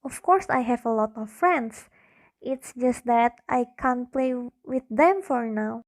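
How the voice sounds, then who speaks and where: A woman playing an excitable, imaginative little girl in an amateur radio drama, childlike and earnest. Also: fairly high pitch, slow. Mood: curious, excited.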